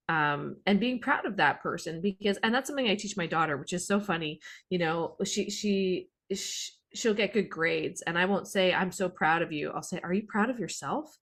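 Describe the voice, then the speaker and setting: Canadian woman hosting, on the lower side. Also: gravelly. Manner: effusive, earnest. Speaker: podcast host. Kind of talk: conversational interview.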